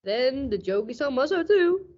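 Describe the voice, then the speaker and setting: A woman's voice: high-pitched, sing-song, normal speed, a little mellow. A woman as a dramatic hard-boiled radio sleuth. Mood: grim. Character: grim hard-boiled detective-drama narrator and actor. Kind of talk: noir radio-play acting. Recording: dull lo-fi recording.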